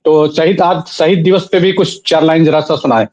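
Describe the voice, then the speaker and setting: High-voiced male poetry host. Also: steady tone, moderate pace, a little mellow, poor audio. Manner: courteous, with eager praise interjections. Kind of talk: hosting a poetry program.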